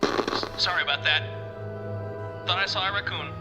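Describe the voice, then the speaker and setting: A man playing a jumpy, chatty bird sidekick in an audio drama, excitable and prone to stammering. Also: sits high, normal speed, long reverb tail, loud music behind the voice, lightly acted. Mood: uneasy.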